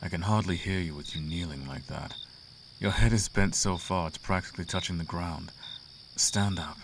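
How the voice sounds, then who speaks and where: Male actor as a demon prince, very low voice, suave, imperious, teasing, intimate fantasy audio roleplay, crickets chirping behind. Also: noisy background. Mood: imperious, reassuring. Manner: teasing, silky, grandly formal, drawn-out emphasis. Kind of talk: roleplay ASMR, intimate theatrical monologue. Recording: clear outdoor cricket ambience behind.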